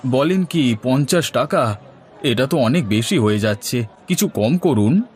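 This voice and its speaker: A man's voice: mid-range voice, lively intonation, measured pace, darkish tone, close to an everyday voice. A man playing a hard-up, worried middle-aged village father in a cartoon story, talking in a weary, plaintive everyday way. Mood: plain. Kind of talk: cartoon moral-story dialogue.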